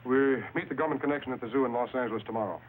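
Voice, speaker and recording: An actor playing a tough undercover customs agent, terse, dry and hard-boiled, in old noir radio style on a thin, hissy recording. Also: male voice, mid-range voice, rapid-fire, phone-quality sound, lightly acted. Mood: dry, cool. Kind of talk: mid-century film noir radio acting.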